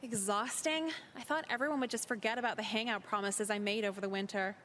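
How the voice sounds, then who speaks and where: A woman playing a cynical, weary seventeen-year-old high school girl in a game, with a dry, sarcastic, dismissive manner. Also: steady tone, quick pace, fairly bright tone, a little hiss or hum, natural voice. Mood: weary, annoyed. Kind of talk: scripted visual novel dialogue.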